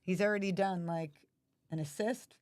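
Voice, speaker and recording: A woman with a raspy, allergy-hoarse voice chatting casually while watching hockey at home. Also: on the low side, normal speed, a little mellow, no echo, studio quality. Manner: chatty, asks many questions. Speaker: livestream host.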